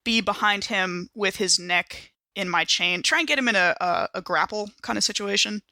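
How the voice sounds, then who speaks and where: A woman's voice: medium pitch, moderate pace, bright, forceful tone, no room sound, studio quality. A woman playing a tabletop game, talking casually as she works out her moves.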